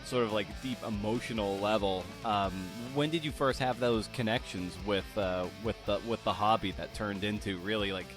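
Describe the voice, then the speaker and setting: A man's voice: on the low side, normal speed, hardly any pauses, over music. A man hosting a podcast, moving between scripted introduction and interview questions. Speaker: podcast host.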